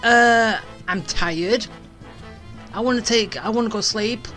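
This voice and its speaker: Higher-pitched woman rambling over game music. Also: slow pace, fairly bright tone. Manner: rambling, teasing asides to game characters. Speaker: game streamer. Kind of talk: casual gameplay commentary.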